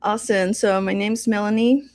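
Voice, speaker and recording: A woman's voice: medium pitch, fairly even intonation, moderate pace, no echo. Cheerful Peruvian woman, dry close sound. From Peru. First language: Spanish. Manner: cheerful, giggly, warm greetings. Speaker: co-host, marketing staff. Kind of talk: casual livestream Q&A chat.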